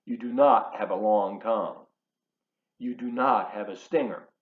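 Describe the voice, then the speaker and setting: A man reading a children's book aloud in an expressive, emphatic, teacherly way, adding chatty asides for his young listeners. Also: on the low side, measured pace. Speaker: volunteer children's storybook reader. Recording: roomy echo, slightly dull sound.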